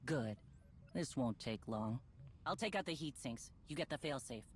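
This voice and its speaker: An actress playing a blunt, commanding female bounty hunter in a video game, impatient and vengeful, with a husky voice. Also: a bass voice, lively intonation, normal speed, a little hiss or hum. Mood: cool, determined. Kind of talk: video game cutscene acting.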